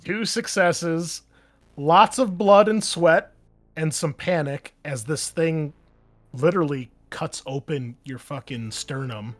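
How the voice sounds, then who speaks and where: A man's voice: a little high, slow, fairly bright tone. A man running a tabletop game as game master, narrating casually and graphically in informal, sometimes profane language.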